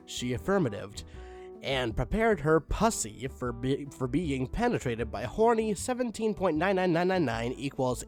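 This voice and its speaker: A man reading a badly written story aloud with mocking deadpan asides and spoken punctuation, over faint music. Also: medium pitch. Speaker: reader of online stories. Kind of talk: comedic dramatic reading with commentary.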